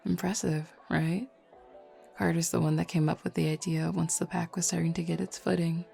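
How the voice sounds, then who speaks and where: A woman's voice: on the low side, little pitch movement, moderate pace, gravelly, warm tone. A woman playing a flirty, self-assured werewolf pack beta in a roleplay audio drama, talking casually and teasingly to the listener. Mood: calm, explaining. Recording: lo-fi music bed behind voice.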